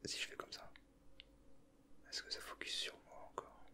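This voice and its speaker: A man whispers very close to the mic in an extremely bright, breathy hiss. He talks in casual ASMR style, slipping in teasing, slangy asides as he comments. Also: moderate pace. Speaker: ASMR video creator.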